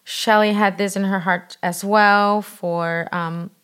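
A woman from Brazil with a Brazilian accent, leading a mentoring program and talking earnestly on a podcast, a little halting. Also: mid-range voice, steady tone, a bit bright, smooth. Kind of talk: podcast conversation.

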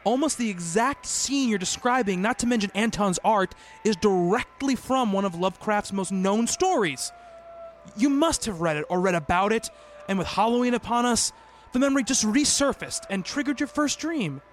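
An actor playing a concerned, skeptical doctor who narrates his investigation in a measured, formal radio play style. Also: high voice, lively intonation, slight background noise. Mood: concerned, earnest.

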